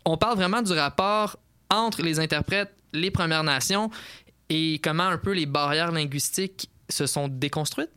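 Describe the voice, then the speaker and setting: Clear, higher-voiced Quebec man hosting. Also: normal speed, sharp tone, no echo, clean studio sound. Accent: Quebec French. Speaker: podcast host. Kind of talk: casual discussion.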